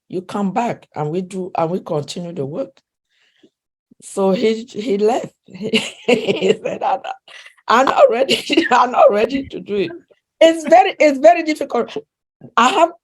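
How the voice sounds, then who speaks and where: A woman speaking English with a non-native accent, a relationship coach talking earnestly in an interview, often restarting sentences. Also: fairly high pitch. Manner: earnest, with frequent restarts and soft laughs. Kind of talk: conversational interview.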